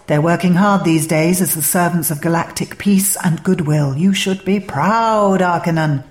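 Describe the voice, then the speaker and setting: A woman narrator voicing an eccentric, breezy male alien adventurer in a story, brisk, playful and given to lecturing. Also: fairly low pitch, reverberant, natural voice. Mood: earnest, proud.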